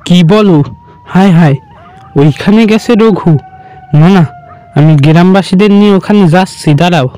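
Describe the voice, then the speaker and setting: Cartoon fisherman neighbour, voiced by a male narrator: bright raised voice, flustered and eager to help. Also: sits high, a little slow, pauses often. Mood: flustered, reassuring. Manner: flustered, repeating words. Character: agitated, helpful male village fisherman neighbour. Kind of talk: cartoon character dialogue.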